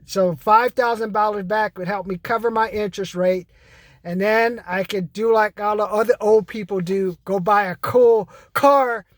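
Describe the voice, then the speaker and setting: A man's voice: sits high, steady tone, moderate pace, dry recording, clean studio sound. Blunt, profane man in his fifties. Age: 50s. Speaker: vlogger. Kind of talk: casual rant to camera.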